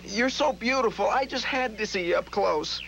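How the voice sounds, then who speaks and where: A man voicing a lonely, self-loathing young duckling in an animated fairy tale, earnest and plaintive. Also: high-pitched, moderate pace, creaky, highs cut off, a little hiss or hum, exaggerated voice. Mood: forlorn.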